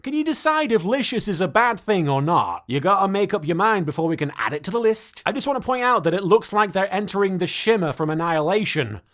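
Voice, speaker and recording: A man with a high, very animated voice delivers scripted comic commentary. He is sarcastic and exclamatory, like a reviewer recapping an episode. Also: fairly quick, few pauses.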